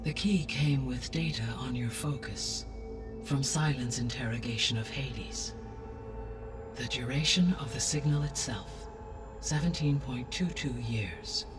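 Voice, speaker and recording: An actor playing a calm, benevolent, erudite artificial intelligence in a video game, speaking with measured, precise, formal diction. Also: male voice, mid-range voice, recorded from a distance, music in the background, lightly acted. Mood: precise, matter-of-fact. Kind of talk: scripted video game dialogue.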